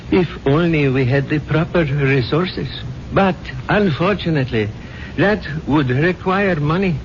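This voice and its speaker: Actor as suave, high-voiced male guru. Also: natural voice. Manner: courtly, formal, measured. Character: suave spiritual guru angling politely for money. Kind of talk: 1950s radio drama acting. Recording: dull vintage radio recording, faint noise.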